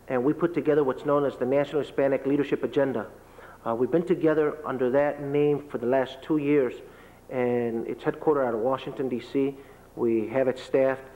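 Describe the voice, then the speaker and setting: Man explaining at a panel table. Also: medium pitch. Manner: explanatory, steady, lists things. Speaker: panelist, business association leader. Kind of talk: panel discussion answer. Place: large lecture hall, seated at panel table. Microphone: table microphone. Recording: reverberant hall, dull lo-fi tape.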